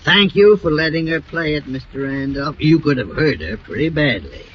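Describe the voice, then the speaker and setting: A man plays a kindly older small-town mayor in a 1940s radio drama. His voice runs a little high and swings widely in pitch, wry and folksy, on a muffled recording with light noise. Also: lively intonation, moderate pace, natural voice. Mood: gently teasing.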